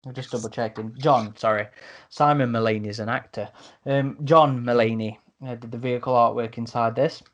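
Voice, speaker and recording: A man in a casual podcast discussion as a host, speaking a little haltingly and repeating words as he goes. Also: mid-range voice, steady tone.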